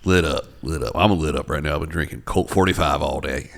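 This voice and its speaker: A man's voice: rumbling low, a little fast, gravelly, fairly bright tone, voice pushed down, heavily acted. A man voicing a rowdy old country man who calls a computer help desk and talks in a crude, drawling, teasing way. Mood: bawdy.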